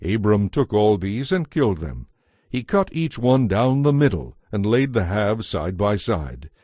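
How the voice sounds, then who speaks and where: A man narrating scripture from a script as a solemn, measured storyteller. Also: fairly low pitch, sing-song, warm tone, dry recording, studio quality.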